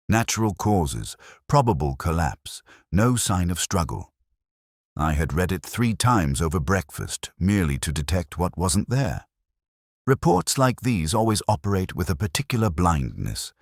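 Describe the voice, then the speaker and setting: A man playing a reflective, melancholy police inspector, narrating a mystery audio drama in a measured, literary way. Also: low voice, dry recording, clean studio sound, heavily acted. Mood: pensive, watchful.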